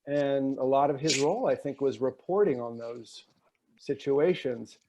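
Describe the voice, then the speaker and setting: A man's voice: mid-range voice, measured pace, noisy background. A man speaking reflectively in a book club discussion, often searching for his words as he goes. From Oakland, California area. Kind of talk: casual reflective discussion talk. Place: virtual discussion video call.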